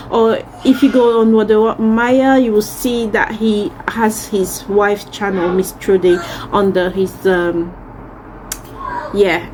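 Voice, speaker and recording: A woman's voice: medium pitch, a little mellow, slight background noise, low-quality recording. A woman from Central Africa chatting casually as a vlogger, effusive and emphatic, often repeating words for emphasis. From Central Africa, Congolese and Cameroonian. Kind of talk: casual chat to camera.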